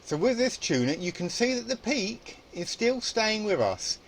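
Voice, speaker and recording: A man's voice: high voice, sing-song, a little slow, a little hiss or hum. A man presenting an informal hands-on electronics tutorial, explaining casually and thinking aloud as he goes. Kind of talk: informal spoken tutorial demonstration.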